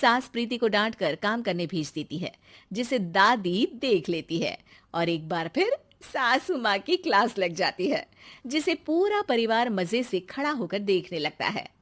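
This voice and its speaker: A woman's voice: mid-range voice, very animated, a little slow, fairly bright tone. A woman narrating a scripted moral family story.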